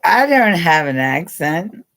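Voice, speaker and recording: A woman's voice: on the low side, big pitch swings, slow pace, a bit bright. Middle-aged American woman, chatty livestream host, rambling and giggly. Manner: rambling, chatty, laughs often, talks straight to viewers by name. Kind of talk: casual live chat with viewers.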